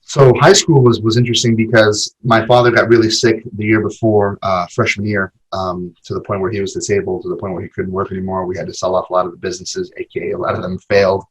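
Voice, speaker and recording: A podcast guest in his forties talks through his memories in a loose, conversational way, often doubling back on his own words. His voice sits low and has a breathy, husky edge. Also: flat delivery, fast talker, few pauses, poor audio. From Argentina. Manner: rambling, with many fillers and repeated words. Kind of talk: casual interview conversation.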